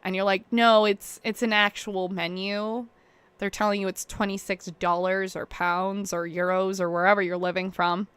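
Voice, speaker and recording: Bright-voiced rambling young woman streamer. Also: medium pitch, little pitch movement, moderate pace, clear, resonant tone, no room sound. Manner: rambling, self-deprecating, laughs often. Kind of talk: casual chat while waiting on a stream.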